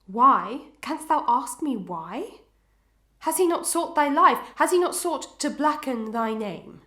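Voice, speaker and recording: A woman's voice: sits high, animated, slow pace, a bit bright, full character voice. A woman playing a sorrowful, dignified wife torn between love and duty in a Victorian melodrama, declaiming formally with pathos. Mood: indignant. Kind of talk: Victorian melodrama audio acting. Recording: echoey, reverberant room.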